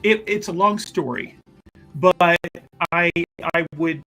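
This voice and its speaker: A self-important, smooth-talking schemer acted by a man in a tabletop roleplaying game. His voice is bright and somewhat high for a male, grandiose yet halting and evasive. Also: soft background music, heavily acted, poor audio. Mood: boastful, grave. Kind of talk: improvised in-character tabletop roleplay.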